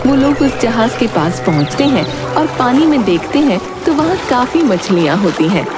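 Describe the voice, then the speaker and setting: A woman's voice: a little high, big pitch swings, moderate pace, music in the background. A woman narrating a scripted storybook tale as its narrator.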